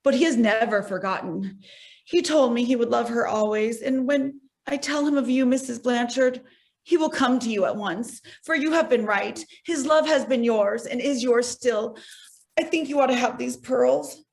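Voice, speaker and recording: A woman's voice: fairly high pitch, moderate pace, vocal fry, a little mellow. A woman playing a composed, scheming, romantic society lady in a stage play, speaking with theatrical assurance. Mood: earnest. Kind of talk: stage acting in an online one-act play.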